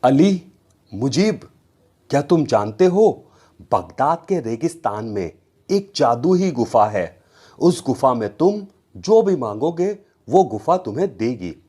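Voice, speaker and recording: A man's voice: mid-range voice, big pitch swings, takes it slowly, mellow, breathy edge, full character voice. A man voicing a kindly, cautious uncle in a children's story, explaining things patiently and firmly. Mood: intriguing, confiding. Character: kindly, cautious adult uncle who runs a bakery.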